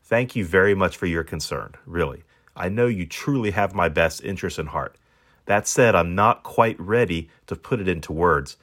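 A man's voice: sits low, animated, normal speed, fairly bright tone. A man reading as a pampered, proud, hungry stranded dog, narrating in a wordy, wry, self-important manner. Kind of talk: first-person storybook narration in character.

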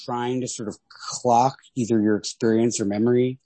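A man's voice: mid-range voice, steady tone, normal speed, dark tone, no echo. A man co-hosting a podcast, discussing literature casually and thinking aloud in a tentative, hedging way. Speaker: podcast co-host.

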